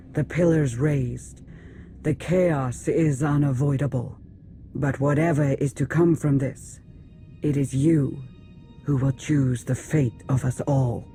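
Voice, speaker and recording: A man's voice: medium pitch, mellow, natural voice. An actor playing a solemn, prophetic male alien council leader in a video game, with a formal delivery. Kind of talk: video game cutscene acting.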